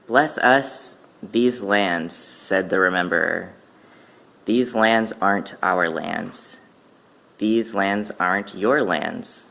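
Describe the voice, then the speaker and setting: A man with a fairly low voice recites poetry at a worship service. He takes it slowly, in solemn, measured phrases that have an incantatory feel. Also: gravelly, a bit bright.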